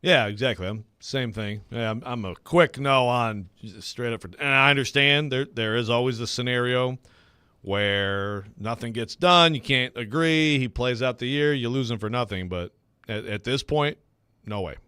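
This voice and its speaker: A man's voice: medium pitch, normal speed, sharp tone, clear, resonant tone, no room sound. A man co-hosting sports radio with casual banter. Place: dry radio studio.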